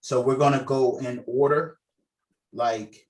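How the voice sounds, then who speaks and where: A man's voice: medium pitch, fairly even intonation, slow pace, rough recording. A man chatting casually and explaining things in an informal, colloquial way on a video call, as the organiser of a table read. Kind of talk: casual meeting chat. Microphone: video call microphone.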